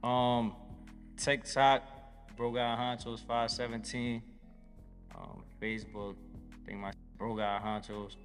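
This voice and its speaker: A man's voice: medium pitch, level tone, slow pace, pauses often, long reverb tail, faint music behind. Laid-back man saying "you feel me" a lot. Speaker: guest artist. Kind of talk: casual interview chat.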